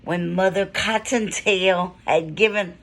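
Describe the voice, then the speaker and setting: A woman reading a children's storybook aloud in short phrases with pauses, now and then adding casual asides. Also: on the low side, steady tone. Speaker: storybook reader.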